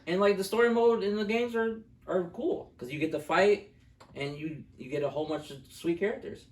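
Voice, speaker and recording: A man's voice: high-pitched, a little fast, far from the mic. A young man co-hosting a casual review chat, talking in a blunt, slangy way. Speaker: film review co-host. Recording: echoey room, off the mic.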